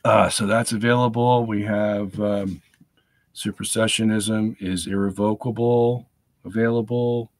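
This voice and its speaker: Hoarse middle-aged man, gravelly. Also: lowish voice, level tone, a little slow, no echo. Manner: chatty, repeats "okay?" to check in with viewers. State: hoarse from a lingering cold. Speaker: host of a live stream. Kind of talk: casual live-stream talk. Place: home study lined with bookshelves.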